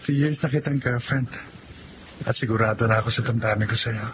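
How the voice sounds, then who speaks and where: A man's voice: medium pitch, fairly even intonation, brisk, lightly acted. An actor playing an earnest, tender young man in love in a Filipino radio drama. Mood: tender, hopeful. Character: earnest young man engaged to his sweetheart. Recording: muffled lo-fi audio with clear background noise.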